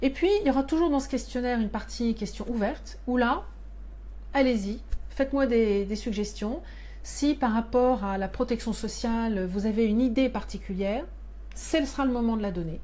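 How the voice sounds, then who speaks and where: A woman's voice: fairly high pitch, moderate pace, mellow. A woman in her fifties, speaking as a parliament member to her constituents abroad in a monthly live stream from a small office, explaining earnestly with many hand gestures. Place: small office with shelves of binders. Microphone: webcam or phone at desk.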